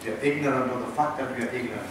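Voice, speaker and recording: A man's voice: medium pitch, racing, background noise. Man, British accent, imam lecturing, teasing asides, very echoey room, distant mic, faint ambience. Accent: British English. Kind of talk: islamic lecture.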